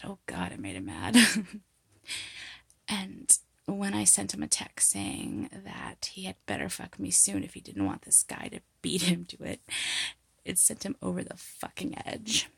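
Female, low-pitched and very gravelly, for intimate seductive roleplay narration; teasing, half-whispered delivery, close and dry. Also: no room sound. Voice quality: breathy, husky, gravelly. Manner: sultry, teasing, often dropping into whispers. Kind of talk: intimate erotic audio roleplay monologue. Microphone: close mic, dry sound.

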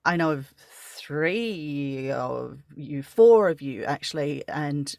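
A man's voice: on the high side, lively intonation, slow pace, gravelly, a little mellow, no echo, clean studio sound. A man with an Australian accent hosting a casual interview, asking rambling, hesitant questions full of fillers. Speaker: podcast host, audio creator.

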